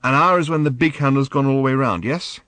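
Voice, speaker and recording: A man reading an audiobook, voicing an angry, hurt teenage girl who shouts at her father. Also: fast, no room sound, close to an everyday voice. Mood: curious. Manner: shouting, shrill, furious outbursts.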